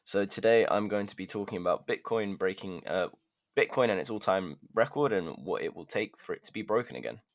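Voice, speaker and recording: A man with a fairly low voice chats loosely on a news podcast. He hedges his points with filler phrases as he explains things. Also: fairly quick. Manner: chatty, hedging with "sort of". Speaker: podcast co-host. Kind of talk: casual podcast discussion.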